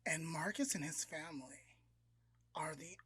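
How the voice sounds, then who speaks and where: A man's voice: on the high side, a little slow, bright, forceful tone, slightly rough, no room sound. A man with an American accent co-hosting a podcast, casually telling a story in a conversational, approximate way. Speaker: podcast co-host.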